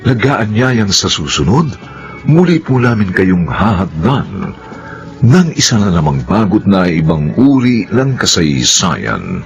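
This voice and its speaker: A deep-voiced Filipino man delivers formal radio drama narration. His slow, theatrical phrasing swings dramatically in pitch, over soft music in a slightly muffled broadcast. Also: very animated. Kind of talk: formal scripted closing narration.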